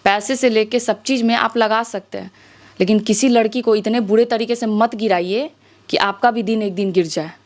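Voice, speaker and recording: A young woman with a Bihari accent and a fairly high voice gives an interview. She airs her grievances emphatically and insistently, pressing her points with rhetorical questions. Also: fairly even intonation, moderate pace. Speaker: interview guest, music-video actress. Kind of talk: spoken interview, airing grievances.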